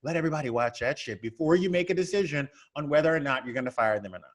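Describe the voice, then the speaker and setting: A man talks as a guest on a podcast in a slightly higher register. He is emphatic and repeats words to drive his points home in casual conversation. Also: animated, racing. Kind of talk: casual chat.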